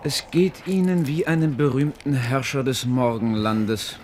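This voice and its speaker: An actor playing a shrewd, measured adviser to a king, formal and deliberate, in a German radio play. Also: mid-range voice, fairly even intonation, darkish tone, close to an everyday voice. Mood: dry storytelling. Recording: 1970s radio drama, faint background noise.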